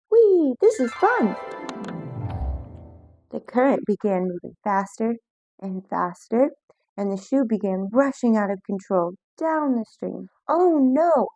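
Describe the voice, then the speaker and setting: A woman reading a children's picture book aloud, lively and expressive, with voices for the characters. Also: fairly high pitch, no echo, muffled recording. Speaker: storyteller.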